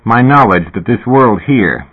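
A man's voice: medium pitch, expressive, unhurried. A man reading a terse, stubborn man. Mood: firm, quiet. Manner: terse, quiet, firm. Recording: tinny phone-like audio.

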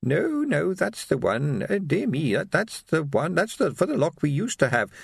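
A man plays a tiny old lady shopkeeper in a storybook. The voice is raised, thin and querulous, dithering politely with a lively lilt. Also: a little high, moderate pace, vocal fry, slightly rough, no echo, studio quality, pitched above the performer's own voice, exaggerated voice. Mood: flustered. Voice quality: thin, quavering.

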